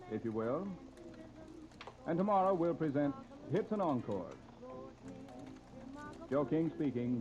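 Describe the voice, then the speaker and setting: An American man announcing and reading ads on 1930s radio with a polished, punchy showman's delivery. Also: fairly high pitch. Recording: dull lo-fi 1930s radio broadcast recording.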